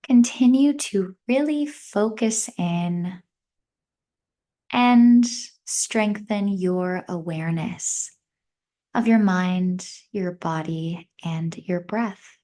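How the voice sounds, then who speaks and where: A woman leading a guided mindfulness meditation as an instructor, speaking gently in short, measured phrases with long pauses between them. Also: on the low side.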